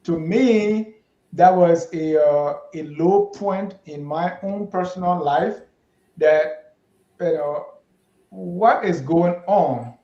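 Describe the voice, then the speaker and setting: A man's voice: a little high, takes it slowly, mellow. A man hosting a panel discussion, talking in a reflective, hesitant way with many restarts. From Liberia. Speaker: host. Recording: echoey, dull lo-fi sound.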